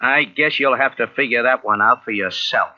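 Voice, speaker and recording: A man's voice: medium pitch, moderate pace, lightly acted. Man acting sardonic carny barker type, slangy, callous, old radio drama, dull tape sound. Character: cynical, mercenary male carnival sideshow pitchman.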